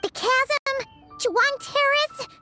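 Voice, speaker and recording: A woman voicing a small, curious, excitable fairy companion in a video game, with a squeaky, childlike voice. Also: slow, faint music behind, exaggerated voice. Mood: curious, excited.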